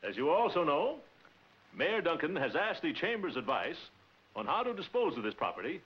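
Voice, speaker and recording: An American actor playing a pompous, easily outraged middle-aged town big shot in a 1940s comedy film, with florid, theatrical indignation. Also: male voice, fairly high pitch, very animated, normal speed. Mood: pompous, self-satisfied. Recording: dull, noisy 1940s film soundtrack.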